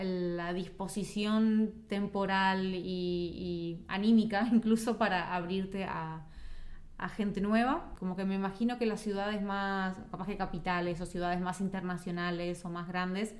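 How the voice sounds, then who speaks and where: A woman's voice: mid-range voice, a little mellow. A woman from Uruguay with a Uruguayan accent, talking casually as an interview guest. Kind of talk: casual chat.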